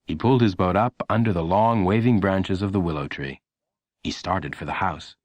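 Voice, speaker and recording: A man narrating a children's audiobook in an expressive, measured storytelling manner. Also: low-pitched, no echo.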